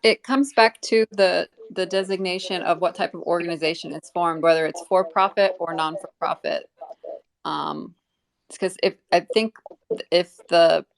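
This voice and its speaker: A woman giving unscripted explanations in an online discussion, choosing her words in a careful, halting way. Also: fairly low pitch, gravelly. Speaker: community representative being interviewed. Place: live online audio room.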